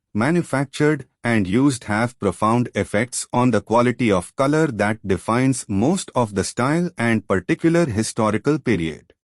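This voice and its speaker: A man's voice: mid-range voice, no echo, clean studio sound. A man reading a scripted practice passage aloud for an exam.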